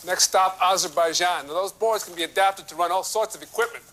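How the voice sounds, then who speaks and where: An actor playing a wry, matter-of-fact police detective briefing colleagues in a crime drama. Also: male voice, sits high, a little fast, pushed and bright, a little hiss or hum. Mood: wry, confident.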